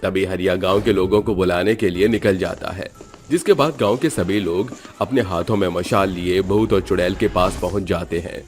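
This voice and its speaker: A man's voice: sits low, moderate pace. A man doing scripted storybook narration for cartoon tales.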